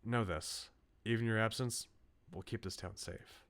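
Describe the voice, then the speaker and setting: A man voicing an earnest, bookish researcher from a visual novel in a measured, formal, serious way. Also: fairly low pitch, fairly bright tone. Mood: grave, resolute.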